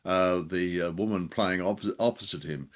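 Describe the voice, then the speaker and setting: An older man chatting casually as a show co-host, jokey and anecdotal, with halting hesitations. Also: low-pitched. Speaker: co-host of a radio drama show. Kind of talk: casual bantering chat.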